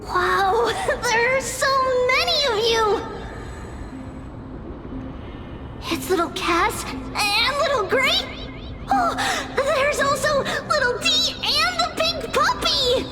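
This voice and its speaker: A woman's voice: very high-pitched, lively intonation, slow pace, loud music behind the voice, heavily acted. A woman voicing a small, cheerful pink fairy companion in a video game, cutesy, childlike and earnest. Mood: delighted. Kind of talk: video game character acting.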